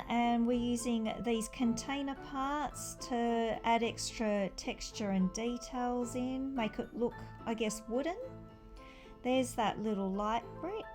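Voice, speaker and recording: A woman giving a casual, chatty review of a toy brick set, enthusiastic and informal, with exclamations. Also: a little high.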